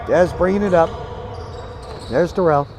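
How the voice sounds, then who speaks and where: A hoarse man calling a live basketball game play by play, energetic and chatty, climbing into excited calls. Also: on the high side, unhurried, noisy background. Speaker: play-by-play commentator. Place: arena broadcast position.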